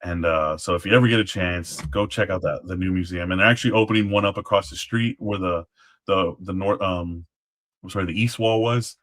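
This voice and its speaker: A man speaking as an interview guest, explaining history casually in halting phrases with trailing asides. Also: sits low, fairly bright tone. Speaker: guest, former Alamo guard.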